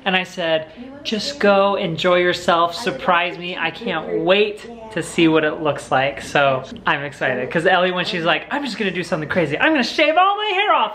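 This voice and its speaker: A man's voice: high voice, sharp tone. Upbeat young American man vlogging. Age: young adult. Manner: upbeat, playful. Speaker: family vlogger. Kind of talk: casual, chatty vlog talk to camera. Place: indoors at home. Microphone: camera at arm's length.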